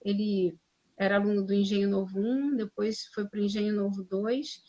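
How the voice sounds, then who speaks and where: A woman's voice: mid-range voice, fairly even intonation, moderate pace, dark tone. A woman teacher hosting a live class on a video call, speaking formally with frequent tag questions. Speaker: administration teacher, host of the live. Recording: dull lo-fi stream audio.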